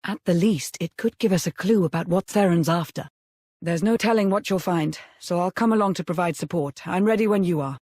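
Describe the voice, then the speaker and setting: A woman's voice: on the low side, brisk, mellow, no echo, clean studio sound, natural voice. A woman playing an earnest, cautious military adviser in a sci-fi game, serious and businesslike. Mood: focused. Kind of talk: sci-fi game acting, briefing.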